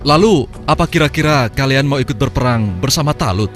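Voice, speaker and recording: A man's voice: medium pitch, expressive, brisk, fairly bright tone, faint music behind, close to an everyday voice. A man voicing a mocking, boastful but cowardly villager in a cartoon. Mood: scoffing. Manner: scoffing, blustering. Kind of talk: Islamic cartoon dubbing.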